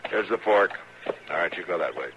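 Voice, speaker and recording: A stern American frontier marshal with a very low voice. He speaks in terse, dry commands, as in a 1950s western radio serial. The old recording is dull and clearly noisy. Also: expressive, fairly quick. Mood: firm, impatient. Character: stern, laconic frontier US marshal in his prime.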